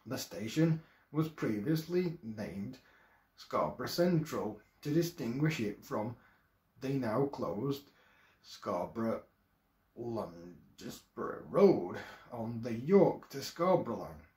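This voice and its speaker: A man in his thirties from England reads a history script from a screen at home, careful and a little halting, with occasional restarts. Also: mid-range voice, very animated, a little mellow. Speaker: video presenter. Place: small room at home, indoors. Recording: echoey room.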